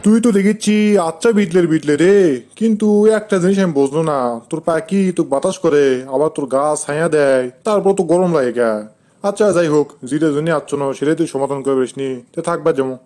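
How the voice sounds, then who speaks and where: A man voicing an irritable, scolding village uncle in a comic cartoon, gruff and argumentative, with a rustic Bogura accent. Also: a little high, quick pace, warm tone, soft background music, lightly acted. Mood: sceptical, needling. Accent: Bogura, northern Bangladesh.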